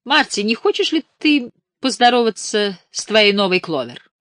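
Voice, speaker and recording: A woman's voice: fairly high pitch, sing-song, normal speed, a bit bright, dry recording, clean studio sound, pitched above the performer's own voice. A woman voicing a shrewd, appraising middle-aged male talent agent, speaking in a cool businesslike way.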